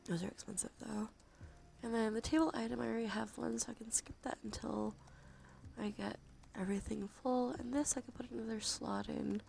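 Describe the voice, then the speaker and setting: A woman livestreamer talking casually in a soft, breathy whisper with vocal fry, in an informal ASMR game stream style. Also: a little high, fairly even intonation, a little fast, a little hiss or hum. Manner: sweary, informal. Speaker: livestreamer and host.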